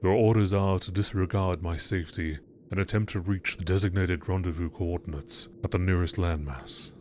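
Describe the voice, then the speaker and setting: A man with a fairly low voice reads scripted narration. His delivery is measured and deliberate, in the style of a nature documentary. There is some noise behind the voice. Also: steady tone, a little mellow. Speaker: narrator reading game text aloud. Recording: clearly audible background noise.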